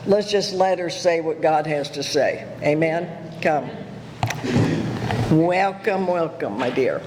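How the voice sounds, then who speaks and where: A man's voice: fairly high pitch, expressive, takes it slowly, big-room sound, heavy background noise. Man introducing a speaker in church. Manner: warm welcoming, informal. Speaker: pastor. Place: church sanctuary.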